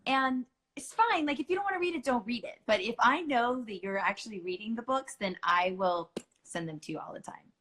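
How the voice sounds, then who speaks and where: A woman's voice: a little high, animated, brisk, fairly bright tone. A middle-aged woman hosting a book club, talking in a chatty, playful way with lots of fillers. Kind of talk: casual chat.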